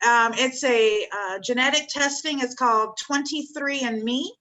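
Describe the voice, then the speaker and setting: Earnest bright-voiced woman in her fifties. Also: high-pitched, unhurried. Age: 50s. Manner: earnest, repeats words of thanks. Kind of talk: spiritual chat.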